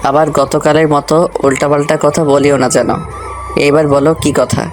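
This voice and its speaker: A man playing a calm, strict college teacher in an audio love story, measured and dismissive in manner. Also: a little high, soft background music, close to an everyday voice. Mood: stern, sarcastic.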